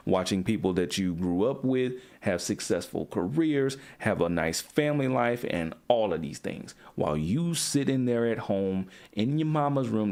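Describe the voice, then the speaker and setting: A man's voice: mid-range voice, dark tone. A man coaching young men in a blunt, profane, preachy talk aimed at the camera. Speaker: men's coach. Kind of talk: direct-to-camera motivational talk.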